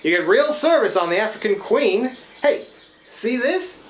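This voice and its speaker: Animated, folksy woman as a scruffy riverboat skipper. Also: fairly high pitch, a little slow. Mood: hospitable, easygoing. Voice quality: folksy, ungrammatical working-class speech. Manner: deferential yet grumbling, chatty. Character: scruffy, easygoing, gin-drinking riverboat skipper, a man. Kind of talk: live radio play acting. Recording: echoey live stage, noticeable room noise.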